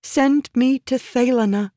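A woman's voice: fairly high pitch, measured pace, a little mellow, no echo, studio quality, voice pushed up, lightly acted. A woman voicing a pleading, calculating noblewoman in an audiobook, intense and feminine. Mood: calculated pleading. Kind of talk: audiobook character dialogue.

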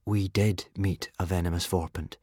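A man's voice: medium pitch, sing-song, a little fast, no echo, clean studio sound, voice pushed up. A man voicing an earnest, worried young boy in a storybook, sensible and questioning as he argues. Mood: hesitant.

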